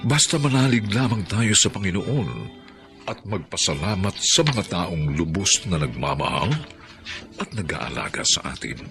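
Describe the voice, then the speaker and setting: A man's voice: sits low, animated, normal speed. A man with a Filipino accent delivering solemn, inspirational radio drama narration. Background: music.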